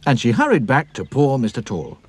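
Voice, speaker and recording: Very animated male storybook narrator. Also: medium pitch, a little fast, slightly rough.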